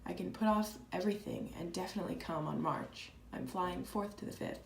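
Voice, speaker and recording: A woman playing an earnest, proud and candid letter writer in a dramatized reading, speaking reflectively and deliberately. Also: lowish voice, lively intonation. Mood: composed. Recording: echoey room with clear background noise.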